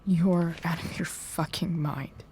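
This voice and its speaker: A rebellious teenage girl in a game drama, sarcastic and blunt. Her lowish voice sounds set back from the mic, with clear noise behind it. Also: fairly even intonation, takes it slowly, a little mellow, recorded from a distance. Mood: bitter, defiant. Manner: sardonic, blunt. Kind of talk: naturalistic video game drama acting, muttering to herself.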